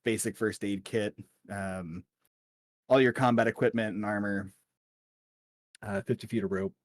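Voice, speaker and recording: A man running a tabletop game as game master, narrating and explaining rules in a casual, halting way. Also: lowish voice, fairly even intonation, dry recording.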